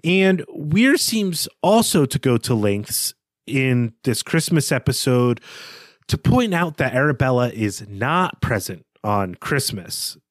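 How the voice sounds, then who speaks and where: A man's voice: medium pitch, big pitch swings, slow, no echo. A man co-hosting a podcast, talking through a book in a conversational style. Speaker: podcast co-host. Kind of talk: conversational literary discussion.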